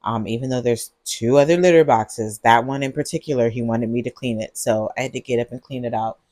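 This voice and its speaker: A woman vlogger, groggy on cold medicine, talking to her viewers in a husky voice and rambling with giggles. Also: rumbling low, fairly quick, a little mellow, dry recording. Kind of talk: casual vlog monologue. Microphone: earbuds mic.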